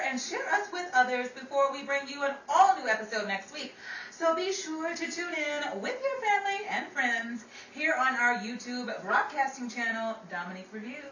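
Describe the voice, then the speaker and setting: Woman, show director and announcer, high lively voice, echoey room, distant mic, noisy background.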